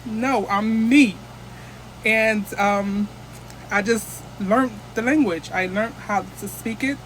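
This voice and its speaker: A woman's voice: medium pitch, takes it slowly, slight background noise, poor audio. A woman from the United States chatting casually into her phone camera as a vlogger, rambling and restarting often.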